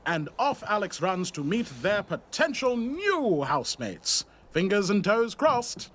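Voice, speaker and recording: An actor playing a playful, dramatic soap-opera announcer, narrating a radio play. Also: male voice, high-pitched, very animated, unhurried, a bit bright, slight background noise, heavily acted.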